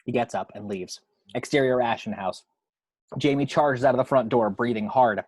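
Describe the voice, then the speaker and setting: Husky, dry-sounding man narrating a script. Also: mid-range voice, moderate pace, a little mellow, dry recording. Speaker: reader of action descriptions.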